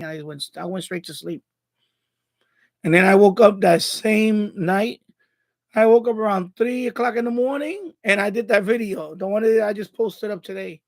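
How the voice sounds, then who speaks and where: A man's voice: high voice, no room sound. A man hosting a hobby live stream, chatting casually in a rambling, repetitive way. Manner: rambling, repeats himself.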